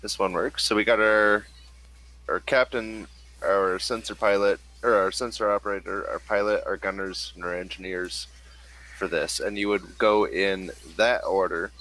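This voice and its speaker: A man running an online tabletop game as game master, talking haltingly and muttering as he goes. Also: low voice, steady tone, bright, forceful tone.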